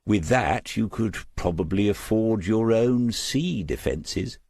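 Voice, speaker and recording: Man acting retired policeman, audiobook figure, low husky voice, wry, deliberate, understated. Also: lively intonation, darkish tone, no echo, clean studio sound, exaggerated voice. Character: shrewd, dry-witted retired male police detective.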